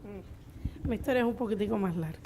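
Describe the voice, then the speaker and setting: A woman's voice: mid-range voice, fast talker, mellow. A woman with a Cuban accent speaking unscripted as a restaurant owner on a panel of entrepreneurs. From Cuba. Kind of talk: unscripted panel storytelling and answers.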